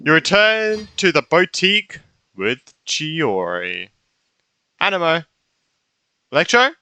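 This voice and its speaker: A man's voice: on the high side, huge pitch swings, slow pace, bright, forceful tone, smooth, dry recording. A young man giving casual, rambling, sweary gameplay commentary, reacting as he goes. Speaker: gamer, streamer. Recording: faint game music behind.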